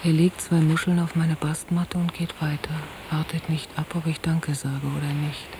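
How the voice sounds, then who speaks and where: An actress playing a troubled, soft-spoken woman in an intimate radio drama, often hushed to a near-whisper as she confides and narrates. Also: low voice, level tone, normal speed. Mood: observing, narrating. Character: troubled, soft-spoken woman recounting an entangled love affair. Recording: hissy old cassette radio-play recording.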